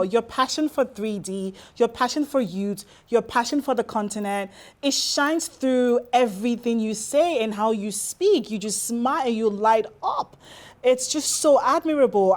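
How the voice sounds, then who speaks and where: Effusive woman hosting an interview. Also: on the high side. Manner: effusive, admiring. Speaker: interview host.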